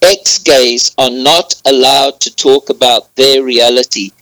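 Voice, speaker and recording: A man with a mixed accent that is hard to place, talking as a guest in an interview. He speaks earnestly and in a measured way, with some hesitations. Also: mid-range voice, sharp tone, dry recording, rough recording. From southern Africa. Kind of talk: interview on air.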